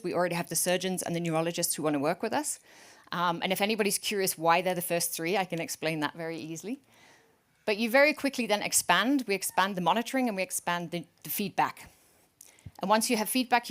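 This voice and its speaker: A woman chief executive giving an energetic, joking startup pitch to investors from a conference stage. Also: quick pace.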